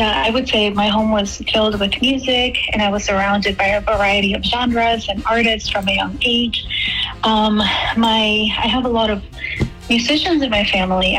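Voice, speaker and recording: A woman's voice: medium pitch, fairly bright tone, poor audio. Chatty young woman from El Salvador. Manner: chatty, many fillers and restarts. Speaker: guest musician. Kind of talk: casual interview answers.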